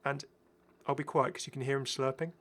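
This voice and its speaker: A man's voice: mid-range voice, steady tone, fairly quick, a bit bright. A British man giving casual, enthusiastic, rambling commentary as the host of a gaming video. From Britain. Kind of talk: casual commentary over game footage.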